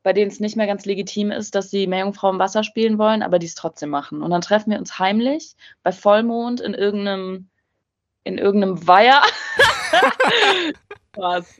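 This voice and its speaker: A woman's voice: mid-range voice, lively intonation, normal speed, lo-fi sound. A young woman guest musician speaking standard German in a bubbly, slangy, self-mocking way. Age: young adult. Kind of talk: casual interview chat.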